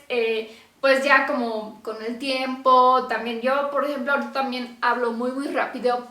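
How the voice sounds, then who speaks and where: A woman's voice: sits high, fairly bright tone. A young woman from Romania, chatting casually to camera in Spanish and laughing often. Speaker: vlogger. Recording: echoey room.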